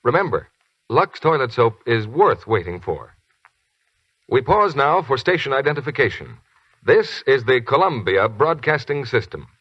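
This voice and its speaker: A man announcing and reading a soap commercial on a 1940s radio show, smooth and persuasive. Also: mid-range voice, big pitch swings, normal speed, fairly bright tone. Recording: dry, muffled 1940s radio broadcast.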